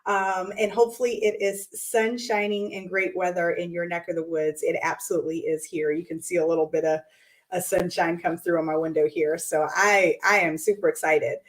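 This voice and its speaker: A hoarse woman with a lowish, raspy voice hosts her weekly show in casual, upbeat talk. She fills her speech with ums and restarts. She talks from a room indoors by a window. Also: fairly even intonation, moderate pace. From United States. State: hoarse from lost voice.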